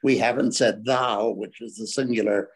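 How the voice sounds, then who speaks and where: An older man in a seniors group discussion, speaking in a measured, formal way and setting out his points in order on a video call. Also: lowish voice, fairly even intonation, husky.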